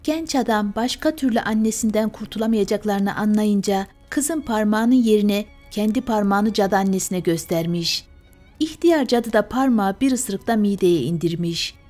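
A woman's voice: medium pitch, normal speed, a little mellow. Woman, bedtime tale narrator, scripted storytelling, music behind. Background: music and fire sounds.

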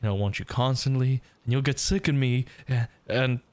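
A man voicing a desperate, lovesick, self-loathing young yandere in an intimate ASMR roleplay, halting and stammering. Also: medium pitch, darkish tone, no echo, close to an everyday voice. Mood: tender, anxious. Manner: halting, stammering, pleading, breathy sighs.

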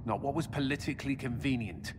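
A man's voice: medium pitch, quick pace, background noise, close to an everyday voice. An actor playing a dutiful male commander who speaks in a firm, earnest manner in a video game. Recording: game audio with long reverb and music.

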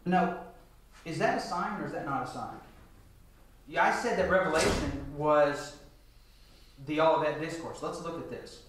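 Echoey high-pitched man lecturing on scripture. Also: moderate pace, long pauses, background noise. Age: middle-aged. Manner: rhetorical questions answered by himself. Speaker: church lecturer. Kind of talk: Bible lecture to a congregation. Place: large church meeting room, indoors. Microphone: picked up from a distance. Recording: echoey hall, distant microphone.

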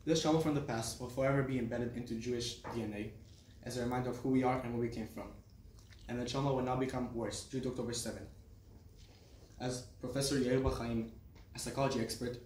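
A man's voice: medium pitch, flat delivery, fast talker, a little mellow. Young man giving a speech, roomy distant sound. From Israel. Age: teenager to young adult. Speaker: student speaker. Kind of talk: prepared personal speech. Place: small classroom, indoors. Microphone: camera on a desk, several metres off. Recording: roomy, distant mic, faint noise.

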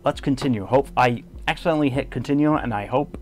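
A man's voice: mid-range voice, fast talker, darkish tone, faint music behind. A man giving light, casual commentary over a video game playthrough. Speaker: gaming video maker.

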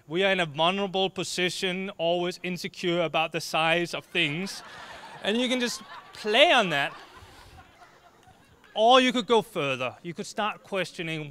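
A man in his thirties from Denmark with a Danish accent, giving a short, joking talk on a dark stage into a handheld mic and waving his arms as he speaks. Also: high voice, clear, resonant tone. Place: dark stage before an audience.

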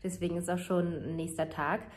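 A woman in her twenties, a bit hoarse from a cold, chatting casually into her phone camera while showing her apartment, with many fillers as she thinks aloud. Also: on the low side, little pitch movement, normal speed, darkish tone, slight background noise. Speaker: vlogger. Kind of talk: casual home vlog. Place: large room in an apartment with high ceilings. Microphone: phone camera, selfie or mirror filming.

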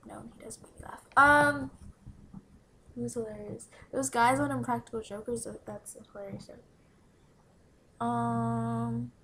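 A giggly teenage girl with a fairly high voice talks straight into a close webcam in a small room. She answers questions in a bubbly, playful way, often dropping to a whisper. A faint hiss of background noise sits under her voice. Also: steady tone, slow pace, pauses often, sharp tone, rough recording. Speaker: vlogger answering follower questions. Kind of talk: casual Q&A vlog. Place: small room indoors by a window. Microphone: webcam from below at close range.

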